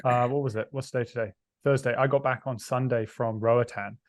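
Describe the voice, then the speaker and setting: A man raised in New Zealand, a podcast guest telling quick, chatty stories. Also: mid-range voice, fairly even intonation, no echo, studio quality. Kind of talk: casual interview chat.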